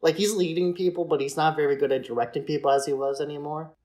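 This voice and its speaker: A man's voice: on the high side, steady tone, quick pace, a little mellow. A man co-hosting a podcast, talking through a film in a casual, explanatory, conversational way. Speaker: podcast host.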